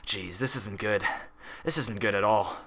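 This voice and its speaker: An irritable stallion orderly from a storybook, performed by a male reader. He grumbles with weary sarcasm in a gruff, forceful tone, exasperated with whoever he is dealing with. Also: lowish voice, measured pace. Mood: annoyed, muttering.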